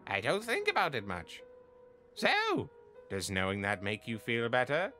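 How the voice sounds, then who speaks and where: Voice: mid-range voice, huge pitch swings, unhurried, pushed and bright, faint music behind, full character voice. A performer voicing a cheerful, theatrical skeleton monster in a comic dub, earnest and breezily nonchalant. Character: cheerful, self-centered, theatrical simple-hearted skeleton monster. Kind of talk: comic dub character acting.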